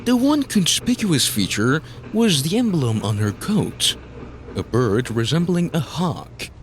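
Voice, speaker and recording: A man's voice: fairly high pitch, very animated, measured pace, a little hiss or hum. A man narrating a scripted story in a dramatic style, as the narrator of an audio drama.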